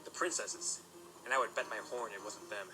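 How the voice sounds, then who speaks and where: A man playing a brisk young pony knight apprentice. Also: fairly low pitch, natural voice, rough recording. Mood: calm. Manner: patient, explanatory, gently self-correcting. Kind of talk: fan audio drama acting. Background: music.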